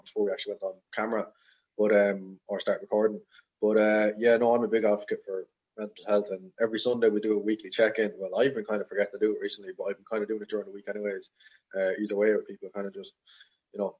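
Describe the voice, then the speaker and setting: A man's voice: on the low side, a little mellow, slightly rough. A young Irish man in his twenties chatting casually and ramblingly as a podcast guest. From Ireland. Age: 20s. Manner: rambling, informal. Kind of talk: casual personal chat. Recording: slightly dull, lo-fi audio.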